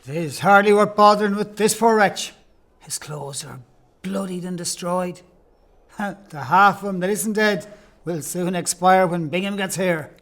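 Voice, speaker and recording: A wry Irish villager played by a man with a notably high voice. He is scornful but gruffly helpful, talking in blunt, clipped phrases as in an audio drama. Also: a little slow, fairly bright tone. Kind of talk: audio play acting.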